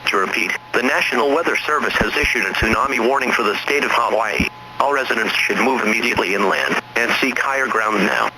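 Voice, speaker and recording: A man's voice: mid-range voice, brisk. A man reading a formal emergency alert as a broadcast announcer. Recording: noisy radio-style alert broadcast.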